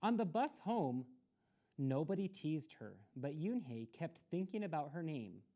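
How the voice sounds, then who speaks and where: A woman reading a children's story aloud expressively, in a deliberate manner suited to young listeners. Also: sits low, very animated, warm tone. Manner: deliberate, with explanatory asides to children. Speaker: storytime reader.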